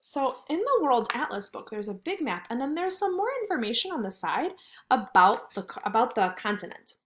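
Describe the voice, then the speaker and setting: A woman teaches kindergarteners in a fairly high voice that rises and falls in a very animated way. She reads aloud and explains in simple words, often posing questions to the children as she goes. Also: moderate pace. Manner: expressive, simple wording with questions to pupils. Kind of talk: read-aloud lesson for young children.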